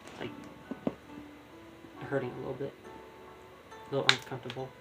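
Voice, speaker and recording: A man giving casual, halting live commentary as he streams a video game, often repeating his words. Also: mid-range voice, monotone, gravelly, loud music behind the voice.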